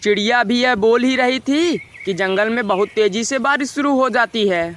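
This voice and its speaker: A man narrating a scripted animated children's moral story. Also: very high voice, normal speed.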